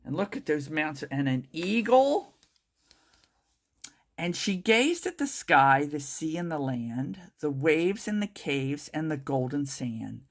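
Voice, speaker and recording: A woman reading a children's picture book aloud in an expressive, drawn-out storytelling manner. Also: deep voice. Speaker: storytime reader.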